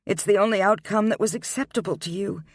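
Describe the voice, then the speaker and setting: A man's voice: high-pitched, fast, dry recording, studio quality, pitched above the performer's own voice. A man voicing a heartbroken young pregnant woman who pleads through tears and then turns proud. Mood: pleading. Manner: tearful, pleading, then quietly resolved.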